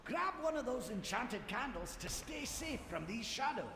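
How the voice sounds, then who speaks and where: A man voicing a cautioning helper in a dark dungeon, giving slow warnings in a video game. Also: very high-pitched, big pitch swings, heavily acted. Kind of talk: video game character dialogue. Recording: echoey hall, ambient noise.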